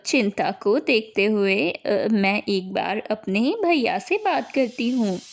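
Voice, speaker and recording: A woman voicing a young, dutiful new daughter-in-law in a family story, gentle, earnest and reassuring. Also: medium pitch.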